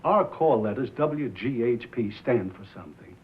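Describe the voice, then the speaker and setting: An actor playing a prim, earnest American small-town radio station owner, polite, literal-minded and hesitant, in a 1950s film drama. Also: male voice, medium pitch, animated, normal speed, lightly acted. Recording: dull noisy 1950s film soundtrack.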